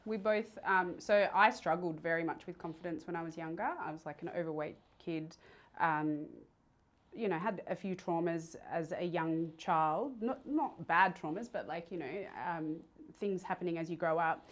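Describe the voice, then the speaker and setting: A woman with an Australian accent speaks as a podcast guest. Her voice sits slightly low for a woman. She talks in a casual, candid way, as if sharing personal experience in conversation. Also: normal speed. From Sydney, Australia.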